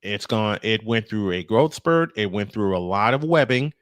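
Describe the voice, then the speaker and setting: A man's voice: on the low side, expressive, normal speed, clean tone, no echo. American man calling baseball, dry close sound. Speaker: baseball play-by-play announcer. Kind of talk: play-by-play commentary mixed with casual chat.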